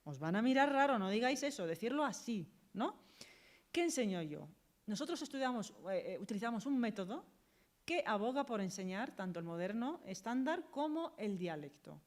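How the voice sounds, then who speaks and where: A woman's voice: on the high side, very animated, normal speed. A woman with an accent from Spain answers as a university language lecturer, explaining in a direct, practical way with quoted examples. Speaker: university Arabic teacher and lecturer.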